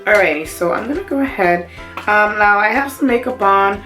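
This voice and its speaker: Hoarse woman chatting through a cold. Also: medium pitch, lively intonation, moderate pace, a bit bright, faint music behind. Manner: chatty, many "um"s and side remarks. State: hoarse, fighting a cough. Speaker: beauty vlogger. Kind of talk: casual makeup review to camera.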